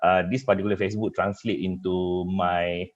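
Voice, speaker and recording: Dull, muffled webinar audio carries a deep-voiced man with a Malaysian accent, a native Malay speaker. He is a trainer walking through a live software demonstration. His delivery is halting, padded with repeated filler phrases. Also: little pitch movement. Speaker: cybersecurity trainer. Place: online webinar with screen share.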